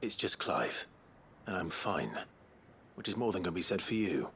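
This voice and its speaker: An actor playing a stoic, earnest young nobleman swordsman in a video game, speaking tersely and gravely with a British accent. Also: animated, moderate pace, a bit bright, slight background noise. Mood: stern. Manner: low-key, terse, grave.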